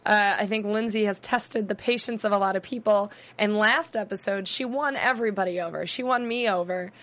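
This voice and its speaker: Hoarse, chatty female show host. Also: medium pitch, fairly bright tone. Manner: chatty, quick asides, laughing. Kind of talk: casual chat.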